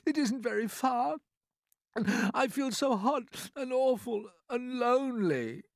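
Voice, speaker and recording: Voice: medium pitch, lively intonation, takes it slowly, no room sound, clean studio sound, voice pushed up. A storyteller voicing a small, timid, unhappy boy who pleads plaintively. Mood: tearful pleading.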